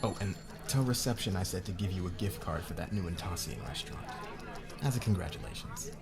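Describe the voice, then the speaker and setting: A man playing a low-voiced, commanding company boss. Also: animated, brisk, loud music behind the voice. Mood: cool, commanding. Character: demanding, controlling fashion company boss, a man. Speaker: voice actor in an audio roleplay. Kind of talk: ASMR audio roleplay acting.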